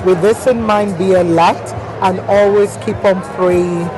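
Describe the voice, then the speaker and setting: A woman's voice: mid-range voice, fairly even intonation, a little slow, a crowd in the background. A woman talk show host interviewing a guest at a mall expo, effusive and full of praise. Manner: effusive, says "wow" and "blessed" often. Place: busy shopping mall expo. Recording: noisy background ambience.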